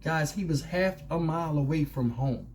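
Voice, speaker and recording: A man's voice: a little high, normal speed, darkish tone, slight background noise. A man giving casual opinion commentary as a channel host, speaking colloquially and conversationally with rhetorical questions.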